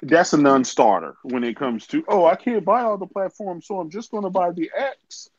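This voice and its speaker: A man's voice: sits high, animated, normal speed, no echo. A man in a casual gaming chat, pushing his points in an argumentative way. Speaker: podcast co-host. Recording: dull dry lo-fi mic.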